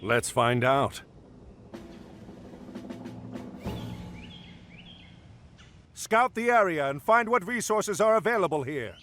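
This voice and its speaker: A man's voice: sits high, big pitch swings, moderate pace, fairly bright tone, a little hiss or hum. A man voicing a stern, steadfast warrior commander in a video game, serious and commanding. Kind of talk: dramatic game cutscene acting.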